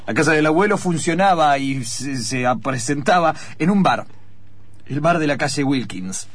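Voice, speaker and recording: A man's voice: a little high, animated, moderate pace, dry recording. A man narrating an improvised radio theatre story.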